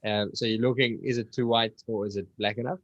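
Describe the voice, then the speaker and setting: Low male host, dull dry call audio. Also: steady tone, brisk, fairly bright tone, no room sound. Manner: informal, paraphrases in simple words. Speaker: host of the online session. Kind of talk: casual moderating, asking and recapping questions.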